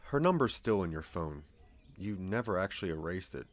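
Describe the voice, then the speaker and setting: Male narrator, fairly deep; suspenseful, measured storytelling for audio drama. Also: big pitch swings.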